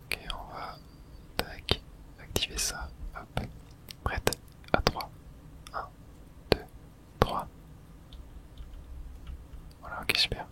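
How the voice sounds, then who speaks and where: A woman's voice: extremely slow, long pauses. A woman giving casual, slangy ASMR gameplay commentary, reacting aloud to the game in whispers.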